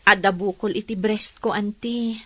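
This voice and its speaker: A woman with an Ilocano accent playing an ailing but resolute mother in a radio drama, speaking earnestly in a plaintive, measured way. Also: medium pitch, steady tone, pushed and bright, no echo, clean studio sound. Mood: worried, hopeful.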